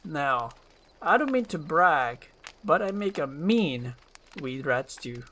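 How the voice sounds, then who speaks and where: A reader acts out a big male ogre from a fairy-tale script. He talks slowly and gruffly, with a kind streak under the grumbling. There is light noise in the recording. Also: mid-range voice, big pitch swings, fairly bright tone. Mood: proud, relaxed.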